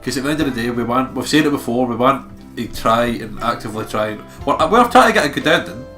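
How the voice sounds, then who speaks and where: A man's voice: medium pitch, animated, fast talker, sharp tone, faint music behind. A man with a blocked, nasal voice doing narration for a visual novel let's play. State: congested.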